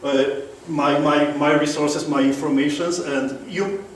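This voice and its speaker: A man with a strong Polish accent gives a seminar talk, pitched a bit high. He keeps asking the room whether they agree. He is recorded from far back in a very echoey room with light noise. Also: steady tone, unhurried. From Poland. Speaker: guest speaker. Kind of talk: seminar presentation. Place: meeting room.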